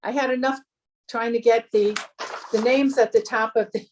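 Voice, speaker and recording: A woman's voice: on the high side, steady tone, normal speed, darkish tone, a little hiss or hum. A woman who takes the minutes at a board meeting, friendly and slightly flustered. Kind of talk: casual meeting discussion.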